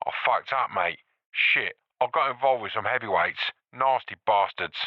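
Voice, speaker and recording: Male reader as a nervous, fast-talking guy on a muffled phone line. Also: fairly low pitch, dry recording, close to an everyday voice. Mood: panicked. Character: panicked young man on the phone.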